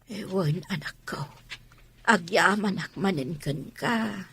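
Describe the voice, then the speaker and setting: An actress playing a gentle, ailing mother in an Ilocano radio drama. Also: fairly low pitch, takes it slowly, creaky, breathy edge, heavily acted. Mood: weak, grateful.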